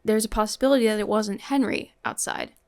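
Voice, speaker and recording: A woman co-hosting a casual reaction chat, with dry, quick jokes. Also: medium pitch, a little mellow. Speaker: co-host.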